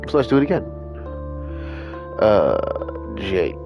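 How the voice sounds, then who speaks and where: A man's voice: fairly low pitch, sing-song, slow, gravelly. Stuffy-nosed man grumbling on stream. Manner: groggy, grumbling, swears casually. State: stuffy nose. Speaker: game streamer. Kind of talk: casual live-stream chat. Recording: dull lo-fi stream audio. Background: game music.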